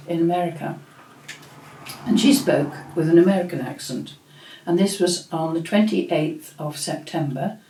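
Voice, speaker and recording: An older woman host recalling family memories in a slow, reflective storytelling manner, with frequent pauses and fillers. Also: deep voice, darkish tone. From England. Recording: echoey room.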